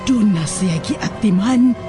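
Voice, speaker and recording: A woman playing a solemn spell-caster in a horror audio drama, intoning deliberately. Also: mid-range voice, loud music behind the voice, natural voice. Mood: brooding. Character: solemn occult spell-caster probing minds.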